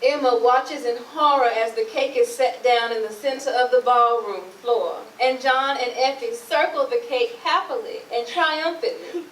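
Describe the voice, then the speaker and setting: A woman's voice: on the high side, moderate pace, a bit bright, slight background noise. A woman narrator reading stage directions for a theatre play reading. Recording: echoey, distant mic, music behind.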